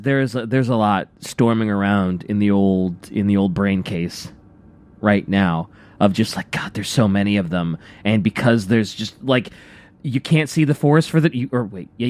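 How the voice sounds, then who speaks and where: A man co-hosting a radio show with casual, reactive banter, rambling and haltingly repeating his phrases. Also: lowish voice, a little mellow.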